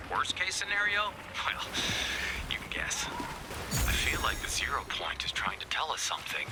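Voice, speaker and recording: An actor playing an anxious, sarcastic video game scientist who rambles in wry asides and feigns calm. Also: male voice, medium pitch, very noisy, lightly acted. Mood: worried, confiding. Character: anxious, sarcastic, fast-thinking male scientist. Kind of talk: video game character voicelines.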